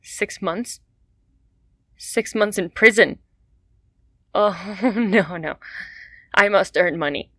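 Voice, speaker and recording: A woman's voice: medium pitch, slow pace, long pauses, a bit bright, no room sound, clean studio sound. An actress playing a desperate young mother in an audio drama, pleading and tearful. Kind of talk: radio drama acting.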